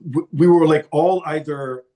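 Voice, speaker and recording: A man's voice: a little high, steady tone, moderate pace, mellow. A middle-aged man from Toronto, a podcast guest talking casually and at length, rambling a little with restarts. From Toronto, Canada. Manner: chatty, rambling, self-deprecating with restarts. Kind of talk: casual interview talk.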